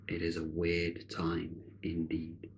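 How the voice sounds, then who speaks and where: A man leads a guided meditation in a low, gravelly voice. He speaks in short, solemn phrases with long, deliberate pauses, keeping a soothing and measured delivery. There is some background noise under the voice. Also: monotone. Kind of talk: guided meditation, spiritual narration.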